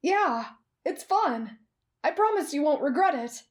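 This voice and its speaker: Woman as a kind, earnest anime boy, unhurried. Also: high voice, expressive. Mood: eager, friendly. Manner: gentle, considerate, earnest. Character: kind, polite, earnest teenage boy, caring peacemaker. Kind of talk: anime fan roleplay audio.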